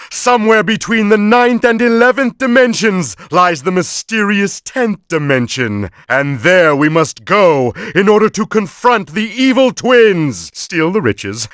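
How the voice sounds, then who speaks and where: A man's voice: sits high, fairly bright tone, clean tone, no room sound, studio quality, exaggerated voice. A man voicing a pompous, short-tempered mad scientist villain with a nasal, theatrical delivery in a cartoon video game. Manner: pompous, melodramatic, florid diction. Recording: noisy, with cartoon sound effects.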